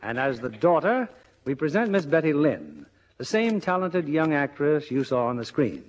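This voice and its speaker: A man's voice: a little high, very animated, normal speed. A man hosting in scripted, theatrical 1950s radio style, polished and formal. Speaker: radio theatre host and producer. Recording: dull old radio broadcast recording.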